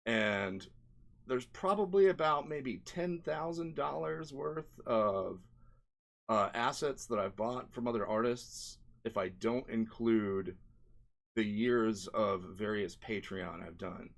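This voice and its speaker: A man's voice: on the low side, expressive, slow pace, vocal fry. A man narrating a casual screen-share vlog as an indie game developer, rambling conversationally and often checking in with "right?" and "you know".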